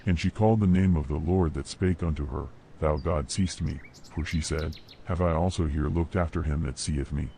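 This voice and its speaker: Man, deep voice, mellow dark tone, solemn formal Bible narration, measured delivery, faint birdsong and nature behind. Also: creaky, a little hiss or hum. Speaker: narrator of scripture. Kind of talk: solemn scripted Bible reading. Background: birdsong and nature sounds.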